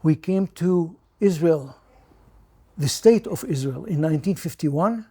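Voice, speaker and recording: An older man born in Iraq, whose first language is Judeo-Arabic, recalling his childhood as an interview guest in halting speech full of restarts. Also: on the high side, lively intonation, warm tone, husky. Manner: halting, many restarts and repeated words. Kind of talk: personal recollection.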